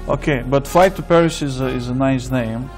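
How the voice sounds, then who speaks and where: A man's voice: medium pitch, moderate pace, darkish tone, soft background music. A man from Bulgaria hosting an interview, asking probing, playful questions with frequent fillers. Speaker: interviewer, host.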